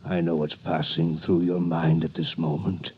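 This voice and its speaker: A dying old priest prisoner. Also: male voice, low voice, flat delivery, moderate pace, slightly rough. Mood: solemn. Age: older. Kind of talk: 1930s radio drama. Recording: tinny old radio broadcast.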